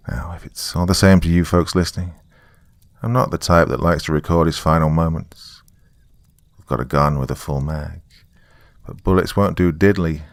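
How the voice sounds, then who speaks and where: A man playing a doomed, weary survivor recording a final message in a horror story, hushed and halting with bitter, wry asides. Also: sits low, no room sound, clean studio sound. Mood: resigned. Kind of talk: dramatic first-person horror monologue.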